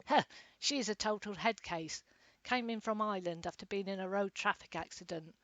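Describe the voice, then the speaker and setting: A woman voicing a spiteful, scornful female kennel worker who speaks loudly and gruffly, in a storybook reading. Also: mid-range voice, takes it slowly, no room sound, lightly acted. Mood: sneering. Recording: dry, slightly dull lo-fi.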